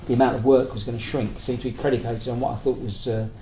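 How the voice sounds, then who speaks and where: The recording is echoey, with faint noise behind the voice. A British man speaks at a medium pitch as a union speaker making a political argument. His delivery is discursive and hesitant, often feeling for the right word. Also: little pitch movement, fast talker. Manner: hesitant, searching for words, discursive. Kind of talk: conference talk, semi-prepared political argument.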